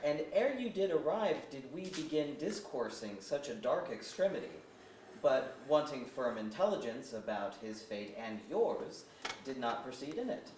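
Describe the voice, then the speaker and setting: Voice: medium pitch, animated, normal speed, darkish tone, natural voice. A performer playing a stern, vengeful elf leader, declaiming formal archaic verse at a staged reading. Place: museum auditorium. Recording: echoey auditorium, far off, faint noise.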